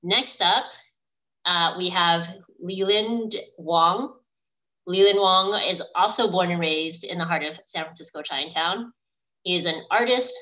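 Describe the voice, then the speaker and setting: A woman in her thirties hosts a casual livestream. Her voice is a little low and quite bright, while the video-call sound is dull and lo-fi. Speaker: event host. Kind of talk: casual livestream hosting, reading out comments. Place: indoors on a video call with virtual background.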